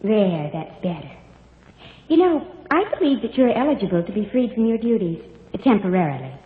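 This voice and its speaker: A woman's voice: medium pitch, big pitch swings, moderate pace, lightly acted. An actress plays a kindly, angelic young woman with prim, precise manners. Her voice carries a long echo on a tinny vintage radio recording. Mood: gently admonishing. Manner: polite, precise, gently proper. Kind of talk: 1940s radio drama acting.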